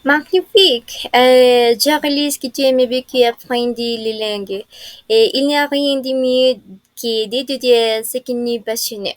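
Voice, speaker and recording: A woman puts polite, formal questions as an interviewer, speaking very slowly in a voice pitched a little high. The sound is dry and close, with no room around it. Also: no echo. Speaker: interviewer in a mock admission interview. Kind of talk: role-played job interview.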